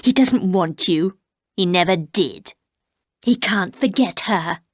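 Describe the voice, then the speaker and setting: A woman voicing a bitter, menacing older housekeeper who speaks slowly and coldly, often dropping to a whisper. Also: expressive, no room sound, clean studio sound. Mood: cold menace. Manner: slow, low, cold, often whispered.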